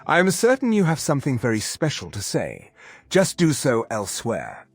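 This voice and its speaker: Creaky, brusque fantasy townsman. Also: medium pitch, wildly swooping pitch, normal speed, dry recording, soft background music. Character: brusque, condescending male fantasy game townsman.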